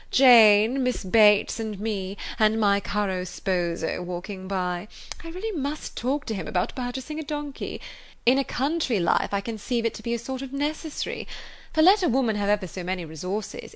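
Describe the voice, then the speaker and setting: A woman's voice: a little high, voice pushed up, natural voice. A woman voicing a pompous, chattering married society lady who gushes and drags out her emphasis. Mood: bossy. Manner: gushing, self-important, drawn-out emphasis. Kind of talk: audiobook character reading.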